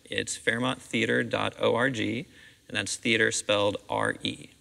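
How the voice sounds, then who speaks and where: A man's voice: fairly low pitch, steady tone, a little slow, gravelly, pushed and bright. A middle-aged man giving conversational answers in an interview. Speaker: theatre director, interviewee. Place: large theatre stage, indoors. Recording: reverberant empty theatre stage.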